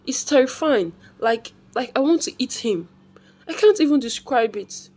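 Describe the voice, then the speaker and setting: A woman voicing an anguished young mother in a folktale, frightened of herself, halting and pleading as she repeats words. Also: sits high, lively intonation, darkish tone, voice pushed up. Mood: frightened, troubled. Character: anguished young mother, frightened by her own dark craving.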